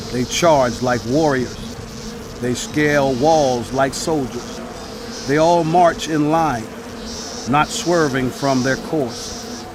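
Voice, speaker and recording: An actor playing a grave, exhorting prophet who narrates dramatized scripture in a solemn, measured, declamatory way. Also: male voice, medium pitch, noisy background, natural voice.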